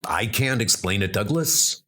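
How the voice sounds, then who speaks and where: A man's voice: on the low side, lively intonation, a little slow, pushed and bright, heavily acted. A man voicing the grave male leader of a band of knights, a former soldier who speaks in a plain, somber way.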